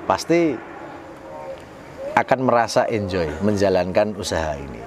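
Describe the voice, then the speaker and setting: A man's voice: lowish voice, very animated, unhurried, background noise. A man in his twenties, a bus company owner, answering interview questions politely with some hesitation. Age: 20s. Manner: polite, hesitant, laughs softly. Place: garage.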